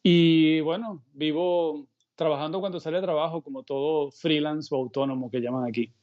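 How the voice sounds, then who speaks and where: A middle-aged Venezuelan man talking as an interview guest in a relaxed, meandering way, thinking aloud mid-sentence. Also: a little high, moderate pace, muffled recording. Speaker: guest illustrator. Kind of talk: casual conversational interview. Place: remote call from home abroad.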